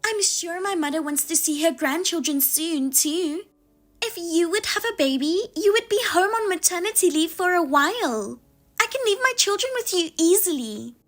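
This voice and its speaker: A woman voicing a sharp-tongued sister-in-law who talks down and needles, her voice pushed higher than her own. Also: expressive, normal speed. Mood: indignant. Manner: high-pitched, indignant and needling.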